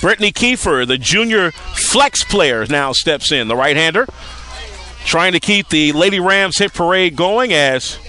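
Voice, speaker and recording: A man calling live softball play-by-play as a radio-style sports announcer, outdoors at a ballfield. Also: on the high side, animated, measured pace, soft background music.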